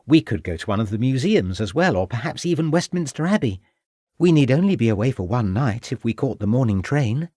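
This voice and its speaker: Spoken by a man, a doting young Victorian wife, her voice lifted high and swinging widely as she gushes and pleads. The storybook reading sounds dry and close. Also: big pitch swings, normal speed, darkish tone, no echo. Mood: eager. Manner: gushing, pleading.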